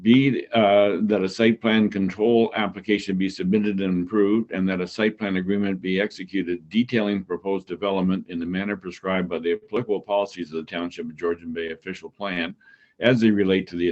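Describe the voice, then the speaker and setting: A man chairing a township committee meeting, calling on members and reading a formal resolution aloud in a measured way. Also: low voice, darkish tone, highs cut off.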